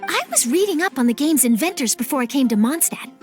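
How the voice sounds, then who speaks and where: Eager game reporter, very high voice, animated, curious. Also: female voice, quick pace, faint music behind. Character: upbeat curious young woman reporter. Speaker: journalist. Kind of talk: video game cutscene acting.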